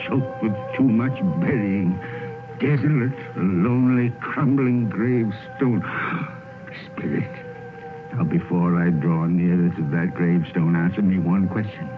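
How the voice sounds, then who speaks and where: An actor playing a terrified, repentant old miser in an old radio play, with a quavering, pleading delivery. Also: male voice, fairly low pitch, takes it slowly. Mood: dread. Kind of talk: 1930s radio drama acting. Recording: tinny old radio broadcast with music.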